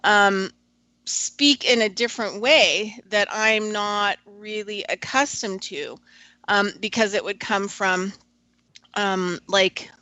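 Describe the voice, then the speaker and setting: A woman hosting a radio show, talking reflectively and hesitantly with many pauses. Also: medium pitch, bright, forceful tone, no room sound.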